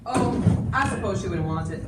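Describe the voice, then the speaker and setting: A woman's voice: mid-range voice, expressive, normal speed, close to an everyday voice. Woman playing a folksy frontier shopkeeper, echoey and distant. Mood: folksy, matter-of-fact. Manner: folksy, matter-of-fact. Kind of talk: amateur stage play acting. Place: indoor school stage. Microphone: distant audience camera. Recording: echoey noisy hall from far off.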